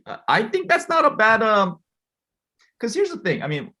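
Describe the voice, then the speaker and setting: Male guest from Korea: husky, animated delivery, talks things through at length over an earbud mic. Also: high voice, normal speed. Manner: thoughtful, explaining at length. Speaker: guest, watch collector. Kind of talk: casual interview chat.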